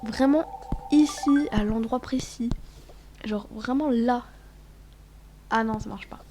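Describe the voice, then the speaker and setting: A woman's voice: fairly high pitch, slow pace, dark tone, faint music behind. A woman with a cold, sniffling as she chats casually over her gameplay, rambling and often dropping to a whisper. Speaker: gaming vlogger.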